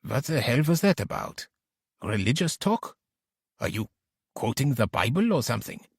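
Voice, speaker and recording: A man voicing a gruff, irritated construction worker with a blunt, challenging way of talking in an audiobook. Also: medium pitch, sing-song, normal speed, dry recording, studio quality, heavily acted. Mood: angry, confused. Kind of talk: audiobook character dialogue.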